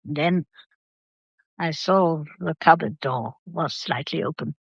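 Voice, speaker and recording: An actress playing an imperious, composed old matriarch in a detective drama, speaking in a stately, commanding way. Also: female voice, deep voice, slow, gravelly, slightly rough, no room sound, muffled recording, exaggerated voice. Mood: composed, recounting. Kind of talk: detective radio drama acting.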